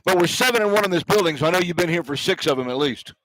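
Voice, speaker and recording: A man's voice: high-pitched, expressive, very fast, fairly bright tone, poor audio. An older man working as a radio play-by-play broadcaster, giving postgame sports commentary and chat on a headset mic. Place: courtside at a basketball arena.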